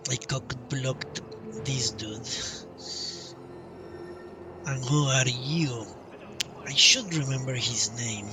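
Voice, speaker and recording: A man commenting casually on a live game stream, thinking aloud in a halting way. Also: mid-range voice, distant mic, low-quality recording. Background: video game music.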